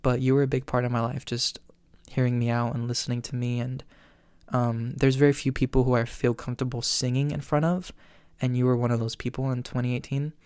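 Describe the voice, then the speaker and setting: A young man in his twenties hosts a solo listener Q&A, chatty and earnest, dropping ums and small laughs between thoughts. His voice sounds smooth and clear despite a tired edge that he says can crack. He speaks in a fairly flat, even tone, close to the mic in a dry room. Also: mid-range voice, dry recording. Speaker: podcast host. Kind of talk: solo Q&A chat.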